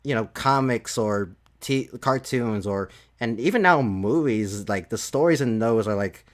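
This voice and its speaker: A man chatting casually in a halting, repetitive way, leaning heavily on filler words. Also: medium pitch. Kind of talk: casual podcast chat.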